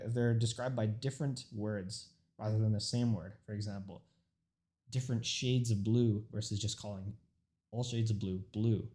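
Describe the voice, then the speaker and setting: A young man whose first language is Urdu gives a prepared informative speech, earnest and mostly steady, with a few stumbles and restarts as he reads. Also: on the low side. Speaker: student speaker.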